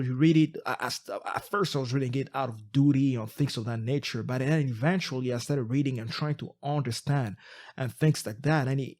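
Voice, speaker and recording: A man's voice: medium pitch, a little mellow. A podcast co-host from Congo, a man whose first language is not English, telling his story in an earnest, reflective way with frequent restarts. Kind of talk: casual podcast chat.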